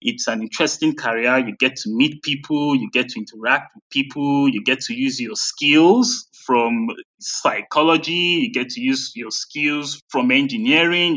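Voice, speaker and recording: A man's voice: medium pitch, fairly bright tone, poor audio. A man with a Nigerian accent answering conversationally as an interview guest. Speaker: interview guest, health and safety coordinator.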